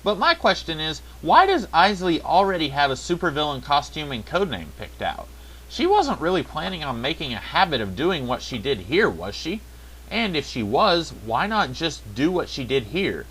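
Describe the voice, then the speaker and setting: A man's voice: on the high side, sing-song, moderate pace, a bit bright, a little hiss or hum, rough recording. A man narrating a scripted review, with wry, sarcastic asides. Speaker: video reviewer.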